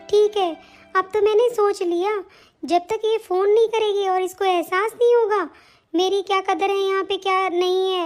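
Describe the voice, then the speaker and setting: Woman acting a high-pitched, weary older mother-in-law. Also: a little mellow. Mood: hurt. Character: weary, affectionate older mother-in-law, fretting over family. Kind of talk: Hindi family cartoon story dialogue.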